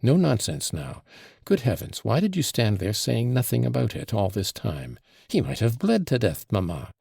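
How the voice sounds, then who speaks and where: A man reading aloud and voicing a capricious, imperious teenage girl who is sharp, bossy and mocking. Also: medium pitch, huge pitch swings, normal speed, gravelly, slightly rough, no echo, studio quality, pitched above the performer's own voice, exaggerated voice. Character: capricious, imperious invalid girl in her teens.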